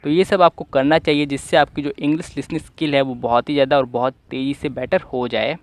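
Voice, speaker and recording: A young man hosting an online English-listening lesson, giving repetitive advice in a steady explanatory voice-over. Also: medium pitch, quick pace, no room sound. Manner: repetitive, advising tone. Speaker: English-learning channel host.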